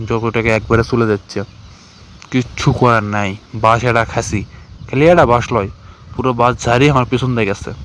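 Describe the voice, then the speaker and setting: A man's voice: medium pitch, fairly bright tone, lightly acted. Man playing a desperate rural Bangladeshi man, noisy recording. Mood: pleading, regretful. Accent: northern Bangladeshi. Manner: rambling, complaining, pleading. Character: desperate, pleading village TikToker in deep trouble. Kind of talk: colloquial dialogue in a cartoon skit.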